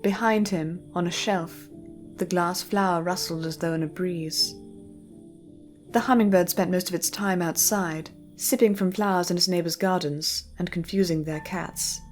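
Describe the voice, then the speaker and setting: A woman narrating a scripted story in a measured, literary storytelling manner. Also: lowish voice, fairly even intonation, darkish tone, soft background music.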